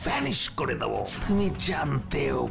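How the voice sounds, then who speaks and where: A man playing a gloating, menacing megalomaniac villain captain in a cartoon, with gravelly, theatrical, drawn-out speech. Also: a little high, wildly swooping pitch, full character voice. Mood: gloating, threatening. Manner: theatrical, drawn-out threats with evil cackling. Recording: music behind the voice.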